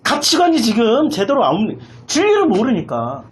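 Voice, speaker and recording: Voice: mid-range voice, wildly swooping pitch, moderate pace, a little hiss or hum. A pastor preaching an impassioned sermon, emphatically repeating phrases and putting rhetorical questions in a raised voice.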